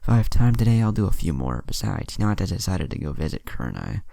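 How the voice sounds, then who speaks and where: A man's voice: deep voice, sing-song, fast, vocal fry, warm tone, no echo, studio quality, exaggerated voice. Naruto: a man doing a brash ninja boy. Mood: cocky. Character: brash cocky ten-year-old boy ninja.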